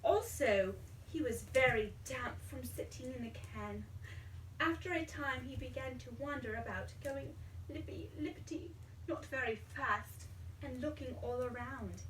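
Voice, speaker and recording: High-voiced lady reading a kids story, super expressive, roomy and far from the mic. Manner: expressive, dramatic, pausing to ask questions. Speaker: children's storyteller. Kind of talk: animated storybook read-aloud to a young child. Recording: roomy, distant mic, faint background noise.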